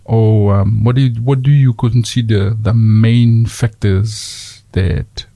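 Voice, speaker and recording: A man with a Fijian accent hosting a community radio chat, halting with many fillers and slipping into Fijian phrases. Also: lowish voice, steady tone, warm tone, no room sound. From Fiji. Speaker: radio host.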